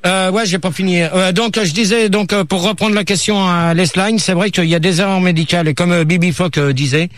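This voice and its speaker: A man's voice: high-pitched, steady tone, brisk, hardly any pauses, a bit bright, no room sound. A male radio host running a chaotic studio debate, talking hesitantly with many fillers and sounding exasperated. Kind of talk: chaotic radio talk show.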